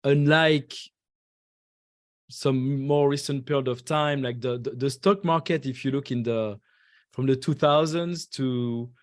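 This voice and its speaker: A man in his forties, born and raised in France and speaking English as a second language, answers interview questions in a halting way, full of fillers and restarts. His voice sits at an ordinary pitch and sounds close and dry, with no room around it. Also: steady tone, no room sound. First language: French. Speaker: guest investor. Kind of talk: podcast interview.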